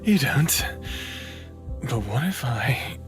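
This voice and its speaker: Slow-talking, flirty smartass man. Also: mid-range voice, expressive. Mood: tender, urging. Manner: playful, unhurried, soft-spoken. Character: smartass human man in his late thirties, flirtatious, tender. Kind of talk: intimate audio drama acting. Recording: faint music under the voice.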